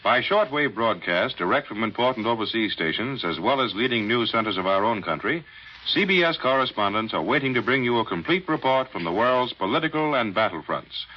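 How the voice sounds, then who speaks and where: A man's voice: mid-range voice, expressive, a little fast, husky, highs cut off. An American man working as a 1940s radio announcer, reading scripted program introductions and sponsor messages. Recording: noisy, band-limited 1940s radio broadcast.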